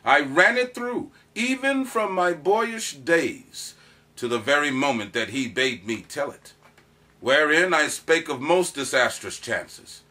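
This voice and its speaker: A man's voice: a little high, lively intonation, slow, bright, forceful tone, exaggerated voice. A man performing a proud soldier who recounts his courtship in a declamatory, theatrical Shakespearean monologue. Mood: proud, grand. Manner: declamatory, dramatic emphasis.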